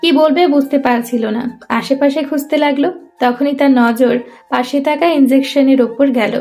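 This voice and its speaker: A woman's voice: sits high, steady tone, moderate pace, a little mellow, faint music behind. A woman narrating a scripted romantic story.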